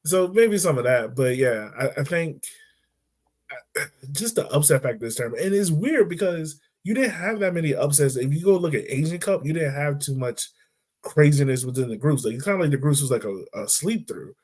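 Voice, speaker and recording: A man's voice: a little high, animated, normal speed, a little mellow. Chatty man breaking down soccer. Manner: laid-back, chatty, lots of "like" and "right?". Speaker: podcast co-host. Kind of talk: casual sports chat.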